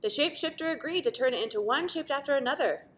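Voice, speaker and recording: A woman's voice: high-pitched, expressive, fast talker, fairly bright tone, slight background noise. A woman reading a children's picture book aloud like a teacher, with dramatic emphasis and asides to young listeners. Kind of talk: expressive children's storybook read-aloud.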